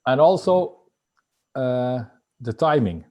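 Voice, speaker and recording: A man's voice: medium pitch, animated, takes it slowly, warm tone, dull audio. A middle-aged Dutch man with a Dutch accent, a guest in an interview, talking with many fillers and restarts. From Netherlands. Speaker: guest entrepreneur. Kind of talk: casual interview talk.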